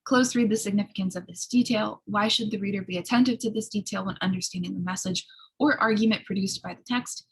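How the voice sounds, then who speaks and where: A woman who sounds a little hoarse, giving an explanatory college writing lecture in an instructional way, often checking in with short tag questions. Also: medium pitch, fast talker. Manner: instructional, frequent checking tags like "right?". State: slightly hoarse.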